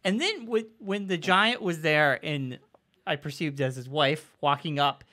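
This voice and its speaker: A man co-hosting a podcast in casual, excited fan chat. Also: a little high, a little slow. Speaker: podcast co-host.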